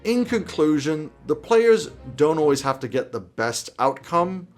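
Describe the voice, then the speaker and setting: A man in his thirties with a fairly high-pitched voice hosts from a desk, talking straight to the viewer. His delivery is conversational, emphatic and opinionated. There is light music behind him. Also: very animated, a little slow. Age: 30s. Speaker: host of tabletop roleplaying video channel. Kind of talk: conversational advice to camera. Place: small indoor home office.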